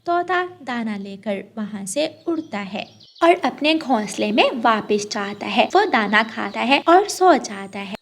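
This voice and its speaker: A woman's voice: on the high side, expressive, measured pace. A woman narrating a scripted children's cartoon story.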